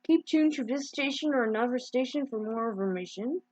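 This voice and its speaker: Halting high-voiced woman improvising news bulletins. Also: expressive, a little mellow, no room sound, lo-fi sound. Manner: halting, improvised delivery with repetitions and mid-word corrections. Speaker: amateur video creator playing emergency news announcer.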